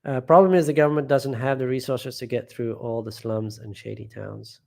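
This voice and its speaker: A man's voice: medium pitch, fairly even intonation, moderate pace, creaky, mellow. A man hosting a casual live stream from home, reading viewer comments aloud and rambling, often trailing off and restarting.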